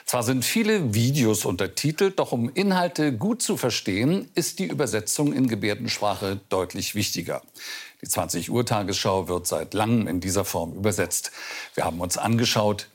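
A man's voice: lowish voice, slightly rough. A man with a standard German accent presenting scripted news as a studio anchor.

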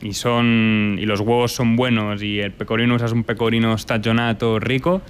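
A man from Italy and Spain who runs a restaurant, answering interview questions in a lively way and dropping in Italian food terms. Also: on the low side, normal speed, slight background noise. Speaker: restaurant owner.

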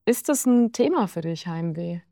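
Slightly low-voiced woman interviewer. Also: lively intonation, normal speed, vocal fry, dark tone, no room sound, studio quality. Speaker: interviewer, radio-style host. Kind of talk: interview questions and presentation.

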